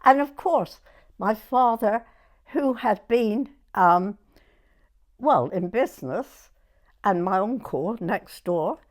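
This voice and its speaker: A woman in her nineties with a southern English accent, reminiscing conversationally in a halting way and often checking that she is understood. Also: mid-range voice, lively intonation. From Dorset, England. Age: 90s. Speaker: oral history interviewee.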